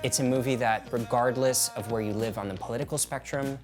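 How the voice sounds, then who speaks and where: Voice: medium pitch, steady tone, a little fast. A filmmaker giving a confident promotional voice-over for their film. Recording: voice-over over clear guitar music.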